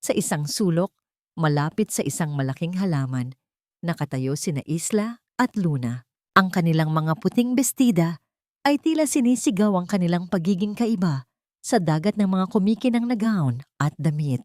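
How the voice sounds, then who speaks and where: Female narrator for dramatic audio fiction: lower register, measured and theatrical, recorded very dry. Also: expressive, darkish tone, no echo. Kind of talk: first-person dramatic scripted narration.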